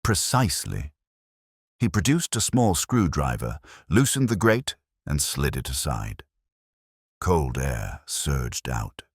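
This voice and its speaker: A man narrating a scripted mystery story in a measured, dramatic manner. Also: extremely low pitch, expressive, breathy edge, no echo, studio quality. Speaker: audiobook narrator.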